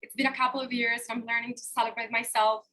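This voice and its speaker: Bright-voiced woman coach in her thirties. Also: on the high side, fast, slight background noise, low-quality recording. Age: 30s. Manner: expressive, open and personal. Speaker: life coach. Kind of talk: live online workshop talk. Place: hotel room.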